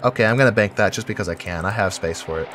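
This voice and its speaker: A man's voice: lowish voice, fast talker, fairly bright tone, a little hiss or hum. A man with a head cold and a nasal, stuffy voice gives casual commentary while building in a game, thinking aloud and correcting himself. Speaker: game video creator.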